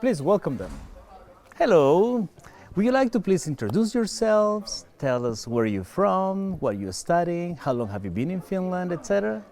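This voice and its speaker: Guy hosting a student interview, bouncy animated voice, laughs a lot, a bit high. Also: normal speed. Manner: lively, friendly, laughs often. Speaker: host. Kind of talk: online interview.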